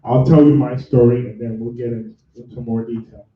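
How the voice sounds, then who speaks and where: A man's voice: medium pitch, level tone, a little fast. A man from Nigeria talks a live audience through a career workshop, restarting his sentences and laughing along with the room. He sounds distant from the microphone in a very echoey room. The recording is dull and muffled. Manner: chatty, self-correcting, laughs with the room. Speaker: career bootcamp host and mentor.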